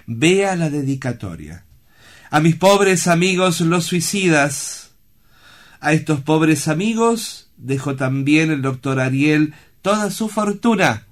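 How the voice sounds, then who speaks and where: Man acting an earnest, lyrical higher-voiced director. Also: expressive, takes it slowly. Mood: earnest, explanatory. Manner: solemn, eloquent, declamatory storytelling. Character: earnest, lyrical male director of a sanatorium for suicides. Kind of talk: theatrical radio play acting.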